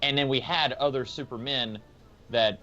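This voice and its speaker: A man's voice: mid-range voice, moderate pace, pushed and bright, faint music behind. A man on a podcast panel casually explaining his view point by point. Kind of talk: casual comic book discussion. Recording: slightly dull recording.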